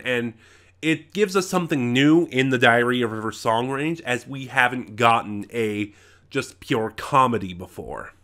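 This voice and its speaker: A man with a bright, forceful voice at a medium pitch talks through an off-the-cuff review. He speaks casually and with emphasis, often breaking off mid-thought and starting the point again. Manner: halting, trails off and regroups mid-thought. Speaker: online reviewer. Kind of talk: casual unscripted audio drama review.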